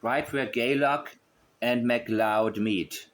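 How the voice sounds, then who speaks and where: A man's voice: mid-range voice, very slow. Male online host, mid-range voice, unscripted and musing, reasons aloud step by step and often repeats points. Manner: musing, thinking aloud, repetitive. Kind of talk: unscripted commentary while examining a map.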